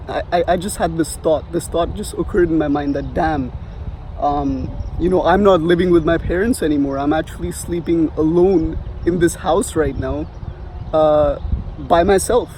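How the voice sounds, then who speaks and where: A man's voice: a little high, warm tone, a little hiss or hum, low-quality recording. Rambling young man from India, outdoors. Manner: rambling, many fillers and restarts, swears casually. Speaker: vlogger. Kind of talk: casual advice vlog. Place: outdoors on a sunny street.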